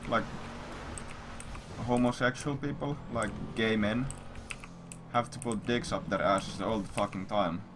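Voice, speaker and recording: A man's voice: on the low side, steady tone, a little slow, background noise. A man livestreaming, telling a story in casual, rambling chat with blunt, repetitive, profanity-heavy talk.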